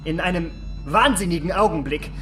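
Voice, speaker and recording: Radio drama role: vain, cruel young gentleman, lightly high voice, measured and taunting, faint music behind. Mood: bitter, reflective. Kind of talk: German radio play acting.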